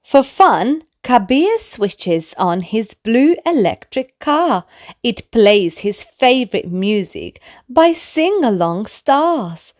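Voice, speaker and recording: A woman narrating a children's bedtime story in an expressive way, speaking to small children. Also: on the high side. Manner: animated, slow, clearly addressed to small children. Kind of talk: expressive read-aloud bedtime story for toddlers.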